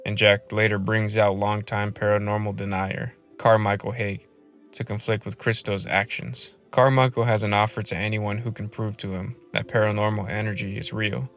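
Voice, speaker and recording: Low-voiced man narrating a film video essay. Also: fairly bright tone.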